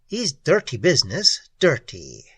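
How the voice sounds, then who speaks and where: A man voicing a meek, bitter little immigrant craftsman in a story reading, with an Italian accent, a husky voice and halting broken English. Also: a little high, wildly swooping pitch, a bit bright, no echo, full character voice. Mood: bitter, disgusted. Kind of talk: character voice in crime story reading.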